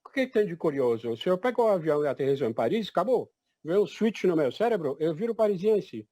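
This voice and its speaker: Older man from Egypt, fairly high voice, firm and emphatic testimony. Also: big pitch swings, fast, dark tone, dry recording. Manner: emphatic, declarative, repeats "definitivamente". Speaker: event participant.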